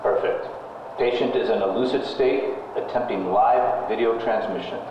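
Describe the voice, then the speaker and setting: A man's voice: fairly low pitch, normal speed, natural voice. A man playing an impassive lab technician in a found-footage horror film, announcing procedures clinically. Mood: clinical, focused. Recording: very echoey, muffled, noisy 1980s tape.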